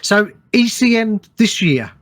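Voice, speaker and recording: A man's voice: sits high, big pitch swings, unhurried, fairly bright tone. A man hosting a podcast, chatting casually and encouraging his guest with agreeable, supportive replies. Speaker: podcast host. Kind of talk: casual chat, interviewing.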